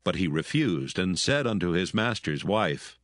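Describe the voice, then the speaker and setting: A man narrating dramatised scripture in a solemn, measured manner. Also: low-pitched, very animated, fairly bright tone, dry recording, clean studio sound.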